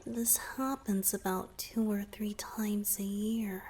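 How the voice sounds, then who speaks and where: A woman voicing a gentle young merman boyfriend in a romantic ASMR roleplay, soft-spoken and hesitant, often slipping into breathy whispers. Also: medium pitch, little pitch movement, slow pace. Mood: shy, explaining.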